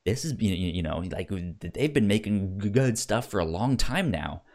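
A man co-hosting a casual film discussion, talking haltingly and often repeating words as he gets his thoughts out. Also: lowish voice, lively intonation, a little mellow. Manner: halting, repeats words and stammers over starts. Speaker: podcast co-host.

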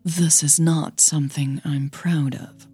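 A woman playing a cool, guarded older female coach, measured and reluctant, in an audio drama. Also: deep voice, steady tone, dark tone, breathy edge, soft background music, full character voice. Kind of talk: audio fiction dialogue.

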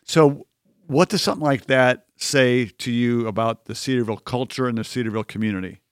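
A man's voice: mid-range voice, a little fast, smooth, no echo, studio quality. A man interviewing a student, asking encouraging follow-up questions in a conversational way.

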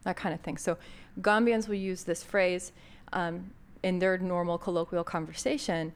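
A woman's voice: lowish voice, steady tone, moderate pace, clean tone. An American woman hosting a podcast, introducing an episode in a thoughtful, conversational way with frequent fillers. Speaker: podcast host.

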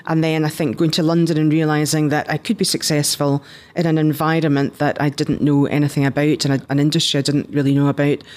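A woman's voice: low-pitched, flat delivery, fast talker, hardly any pauses, darkish tone. A woman in her fifties with a Scottish accent, telling her career story conversationally as an interview guest. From Scotland. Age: 50s. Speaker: interview guest, business founder.

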